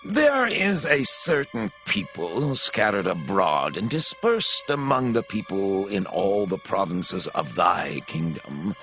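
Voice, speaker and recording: Slow, dramatically swooping scheming royal official. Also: male voice, lowish voice, fairly bright tone, exaggerated voice. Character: scheming Haman, male royal official, persuading his king. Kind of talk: dramatized audio Bible acting. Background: background music.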